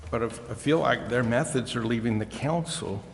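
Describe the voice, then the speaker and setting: A man speaking as a council member in a public meeting, with halting, deliberate phrasing. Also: medium pitch. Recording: echoey hall with long reverb, faint noise.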